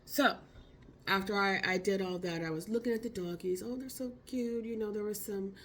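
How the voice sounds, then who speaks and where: A woman, hoarse from a cold, telling a casual, slangy story for her vlog. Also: mid-range voice, normal speed, a little hiss or hum. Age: 50s. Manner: chatty, expressive, slangy. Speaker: vlogger.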